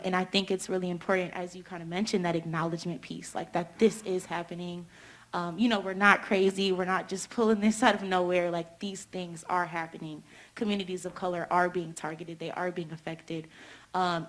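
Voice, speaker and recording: A young woman speaking earnestly on a panel, with many fillers and "you know". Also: lowish voice. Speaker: student panelist. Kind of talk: panel discussion.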